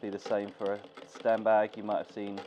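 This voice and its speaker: Young guy with a stuffy cold explaining sewing, lowish voice, dull lo-fi camera sound, bit of hiss. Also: normal speed. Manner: relaxed, thinking aloud with fillers. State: congested from a cold. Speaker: gear maker teaching sewing. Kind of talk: casual tutorial narration. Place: workshop with sewing machine. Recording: dull lo-fi camera audio with faint noise.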